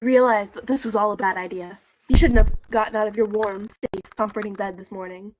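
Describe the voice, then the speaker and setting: A woman's voice: mid-range voice, fairly even intonation, a little fast, noisy background. A young woman reading a story aloud casually, dropping in wry, nitpicking asides. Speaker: co-host reading fan fiction on a call. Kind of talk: casual read-aloud with joking commentary.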